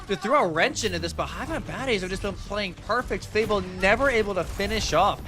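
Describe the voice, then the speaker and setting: A man's voice: high voice, lively intonation, brisk, music in the background. A man giving live commentary on a video game match as an esports caster, speaking colloquially and emphatically.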